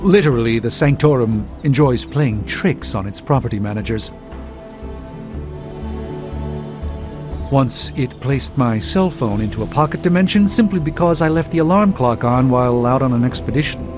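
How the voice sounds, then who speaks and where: Guy doing a wordy wry wizard superhero, very animated, game audio a bit muffled with music. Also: medium pitch, brisk, few pauses, close to an everyday voice. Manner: formal, wordy, theatrical, dryly ironic. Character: erudite, dryly witty adult male sorcerer superhero. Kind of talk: video game voice acting.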